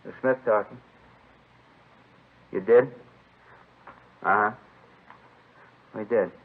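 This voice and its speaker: An actor playing a steady male police inspector in an old radio crime drama, understated and matter-of-fact. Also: lowish voice, drawn-out words, husky, full character voice. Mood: casual, businesslike. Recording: muffled, phone-like vintage radio recording.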